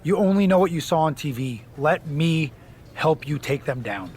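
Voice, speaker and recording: Casting: male actor for a loyal wrestler sidekick, slightly high voice, urgent and persuasive, faint noise behind. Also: lively intonation, normal speed, darkish tone, lightly acted. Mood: earnest warning. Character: earnest, cautioning male wrestler, loyal would-be ally. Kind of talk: scripted wrestling game cutscene acting.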